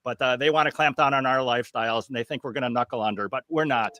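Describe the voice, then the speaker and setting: Guy on a podcast panel, bright tense voice, dry close sound, keeps hammering his point. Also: medium pitch, quick pace, no room sound. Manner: emphatic, scoffing, repeats key points. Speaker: podcast guest. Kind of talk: casual panel chat.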